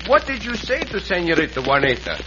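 Male lead: gallant Mexican outlaw, courtly, lively swooping delivery, noisy vintage western radio recording. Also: high voice, fairly quick, dull audio. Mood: friendly, curious. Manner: courtly, formal phrasing with Spanish words. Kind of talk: 1940s-50s western radio acting.

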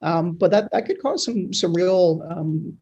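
A man giving conversational news analysis as an editor, speaking haltingly and often repeating words mid-sentence. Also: sits high, dark tone, breathy edge, lo-fi sound. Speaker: news editor and guest commentator.

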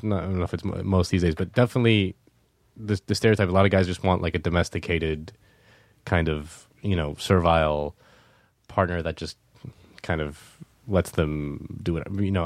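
A man's voice: low-pitched, steady tone, fairly quick, dry recording. A man chatting on a podcast, talking in a rambling, hesitant way. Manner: rambling, hesitant, many fillers and restarts. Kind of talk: casual podcast chat.

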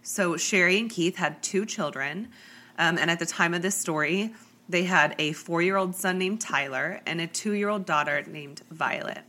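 A woman's voice: on the low side, moderate pace, sharp tone. A young woman with a cold, hosting a true crime podcast in a chatty style with many fillers. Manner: chatty, lots of likes and ums. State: congested with a cold. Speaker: podcast host.

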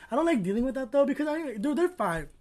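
A man co-hosting a podcast in casual chat, expressive and quick to laugh. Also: extremely high pitch. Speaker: podcast co-host.

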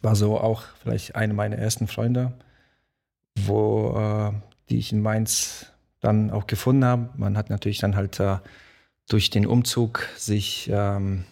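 A man's voice: fairly low pitch, flat delivery, measured pace, creaky, a little mellow. A man born in Poland, a guest musician in a studio podcast, talking casually and hesitantly with many fillers. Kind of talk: casual interview talk.

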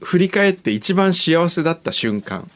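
A woman's voice: fairly low pitch, very animated, normal speed. A woman co-hosting a casual radio chat, teasing and asking nosy questions. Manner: teasing, inquisitive. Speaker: radio show co-host.

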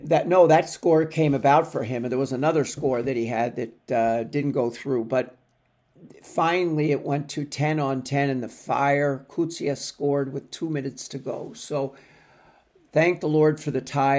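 Gravelly man rambling about soccer. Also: mid-range voice, a little mellow. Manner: rambling, self-correcting. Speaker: contributor giving a match take. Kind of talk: casual sports commentary.

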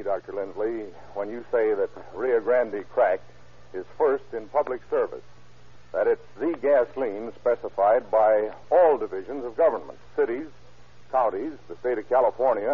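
A man's voice: medium pitch, moderate pace, slightly rough. A man with an American accent in a scripted conversational radio advertisement, questioning in a hesitant, folksy way. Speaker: sponsor's sketch partner. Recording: narrow-band, phone-like vintage radio recording.

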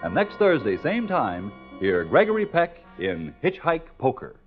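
A man's voice: a little high, wildly swooping pitch, measured pace. An American man, a radio announcer, delivering polished scripted sales copy and credits in 1940s style. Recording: muffled 1940s radio, music behind.

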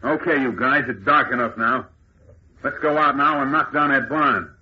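An actor playing a tough, callous gang boss in a radio serial, bossy and sneering, with rough slangy diction. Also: male voice, mid-range voice, moderate pace, natural voice. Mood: bossy, impatient. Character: tough, callous male crook boss of escaped convicts. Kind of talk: old-time radio serial acting. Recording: muffled, noisy old radio recording.

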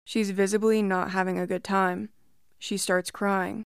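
A woman reads a first-person creepy story at a calm, measured pace, her voice smooth and resonant. She sits close to the mic in a dry room with no echo around her. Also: mid-range voice. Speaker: story narrator.

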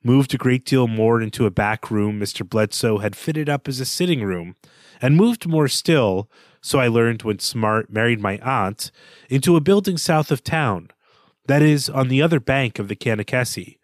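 A man's voice: medium pitch, animated, normal speed, no echo, clean studio sound. Man musing on a book podcast. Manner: reads aloud from the novel, then muses over names. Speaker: podcast co-host. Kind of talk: literary discussion podcast.

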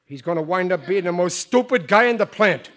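A man's voice: sits high, expressive, a little fast, a bit bright. An actor with an American accent playing a blustering, dim, middle-aged family man in a classic radio sitcom, with colloquial blue-collar diction. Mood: indignant. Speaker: lead actor in a radio situation comedy. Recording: noisy old radio recording.

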